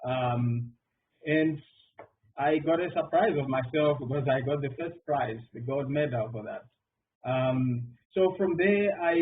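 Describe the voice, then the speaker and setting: A man's voice: mid-range voice, recorded from a distance. A middle-aged man from Zimbabwe, a mathematician on a panel, talking with many fillers and restarts. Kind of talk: round table answer. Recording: phone-like online call audio with faint noise.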